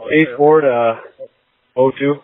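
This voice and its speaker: A man's voice: medium pitch, steady tone, slow, no room sound. A male police officer speaking in terse radio traffic over a tinny narrowband transmission.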